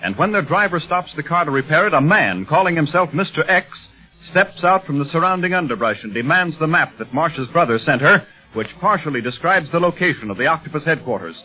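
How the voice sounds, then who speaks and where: An actor as a dramatic announcer narrating a 1930s radio adventure serial in a declamatory, scripted manner. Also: male voice, on the high side, animated, brisk, slightly rough. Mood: urgent recap. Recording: muffled old radio recording with music.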